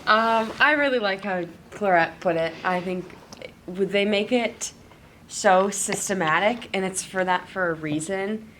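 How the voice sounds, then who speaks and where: A woman's voice: medium pitch, unhurried, a bit bright, slight background noise. A woman fitness coach speaking earnestly and encouragingly in a roundtable chat.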